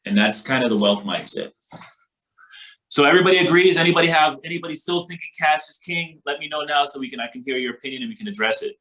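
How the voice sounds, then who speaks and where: A man's voice: fairly high pitch, expressive, fast, a crowd in the background, low-quality recording. A man from Barbados teaching a workshop as instructor, informal and motivational, with an emphatic, colloquial delivery. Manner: emphatic, colloquial, repeats catchphrases. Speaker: financial literacy workshop instructor.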